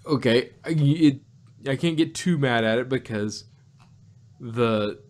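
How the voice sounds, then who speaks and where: A man's voice: medium pitch, takes it slowly. A man co-hosting a podcast, casually reviewing an audio drama with a rambling, laugh-filled way of talking. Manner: rambling, laughs often, restarts and hedges mid-thought.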